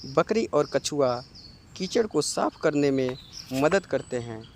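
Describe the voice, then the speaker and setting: A man tells a children's animal tale as narrator. He reads very slowly at a middling pitch. Birdsong and a little ambient noise are heard behind him. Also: animated. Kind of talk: scripted storytelling narration for a children's cartoon.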